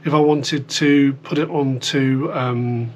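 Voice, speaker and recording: A man's voice: medium pitch, fairly even intonation, slow pace, darkish tone. A British man giving a casual hands-on product demonstration, rambling informally as he explains things step by step. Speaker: host of a radio gear demonstration video. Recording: clear background noise with electrical hum.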